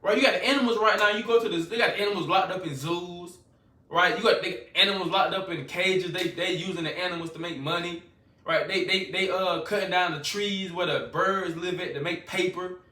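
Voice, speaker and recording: High, bright-voiced fervent preacher man. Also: steady tone, brisk. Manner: fervent, repetitive, loose colloquial preaching. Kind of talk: scripture-reading sermon. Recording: echoey room, voice from a distance.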